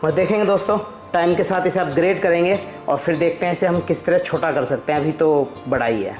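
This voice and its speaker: Chatty man over clear music. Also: a little high, fairly quick, darkish tone. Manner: informal, chatty, explains as he works. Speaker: vlog host and father. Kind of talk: casual chat while doing a DIY project. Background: clear background music.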